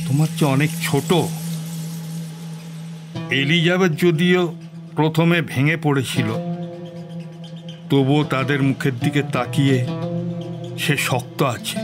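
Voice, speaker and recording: Elderly father, slow solemn letter reading for audio drama, gentle sorrow, guitar-laced music clearly behind. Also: fairly high pitch. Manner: measured, solemn, pausing between phrases. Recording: studio voice under loud music.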